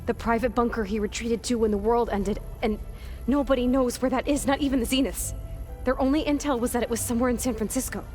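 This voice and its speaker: A woman's voice: on the high side, steady tone, fast, darkish tone, faint music behind, natural voice. A woman playing an anxious, brainy young woman in a video game, hesitant and pessimistic. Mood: grim, uneasy. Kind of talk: scripted video game cutscene acting.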